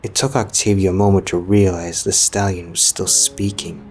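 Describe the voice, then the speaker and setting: A man's voice: low-pitched, flat delivery, moderate pace, darkish tone. A man narrating a scripted story as a storyteller. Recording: music behind the voice.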